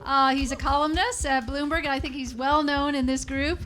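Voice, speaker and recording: A woman with a high voice acting as emcee at a tech event, welcoming speakers to the stage. Her delivery is brisk with some filler "uh"s, and faint noise sits under her voice. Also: fairly even intonation, fairly bright tone. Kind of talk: stage introduction.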